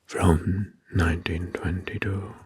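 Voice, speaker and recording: A man narrating in a whispered ASMR style, slow and deliberate with a breathy voice, close to the mic. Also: low-pitched, steady tone, slight background noise. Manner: slow, deliberate, repeats words softly. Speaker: host of ASMR history video. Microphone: close mic, dry.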